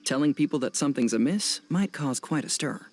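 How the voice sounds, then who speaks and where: An actor playing a serious, analytical young male detective in a video game dub, speaking in a measured, formal, matter-of-fact way. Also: a little high, expressive, soft background music. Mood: serious, investigative.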